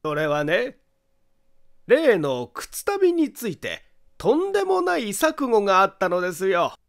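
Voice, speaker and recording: Amateur detective for mystery audiobook, male reader acting: creepy amusement, high pitch, unhurried deliberate accusations. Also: huge pitch swings, vocal fry, exaggerated voice. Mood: gleefully amused. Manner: deliberate, theatrical, amused emphasis. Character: eerie chuckling amateur detective, calm, relentlessly accusing man.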